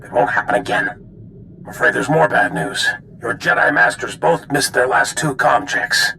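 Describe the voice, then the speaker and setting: An actor playing a formal, grave, apologetic military general in a video game. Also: male voice, medium pitch, normal speed, creaky, bright, forceful tone, slight background noise. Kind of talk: scripted video game dramatic acting.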